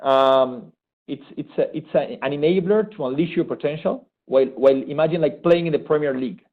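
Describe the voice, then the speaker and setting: A man, giving an eager, halting testimonial with many restarts. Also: on the high side. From Argentina. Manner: enthusiastic, halting with frequent restarts. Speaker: graduate program participant. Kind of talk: unscripted testimonial. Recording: thin phone-like sound.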